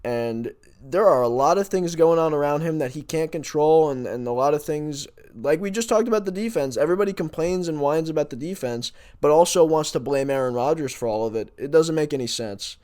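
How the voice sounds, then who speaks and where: A man's voice: fairly high pitch, lively intonation, a little fast, darkish tone. A man co-hosting a sports podcast, giving opinions in an exasperated, emphatic and insistent manner.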